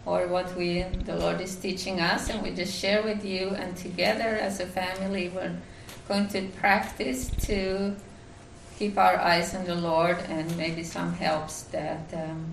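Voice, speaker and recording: A woman from Finland with a Finnish accent, giving a devotional talk at a retreat, halting with many fillers and restarts. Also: on the low side, little pitch movement, creaky, echoey room, recorded from a distance, slight background noise, poor audio. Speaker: retreat speaker.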